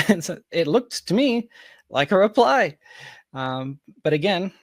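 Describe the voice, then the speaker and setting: A man chatting casually as a podcast guest, telling anecdotes in an animated manner over a close mic. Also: high voice, a little slow, no room sound.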